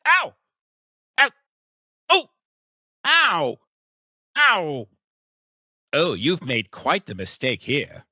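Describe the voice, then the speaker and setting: An actor playing an eccentric, grandiose mad scientist in a video game, secretly villainous, with a theatrical, pompous, wordy manner. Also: male voice, fairly high pitch, pushed and bright, no room sound, studio quality, full character voice. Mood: startled, blustering. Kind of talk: video game character voice acting.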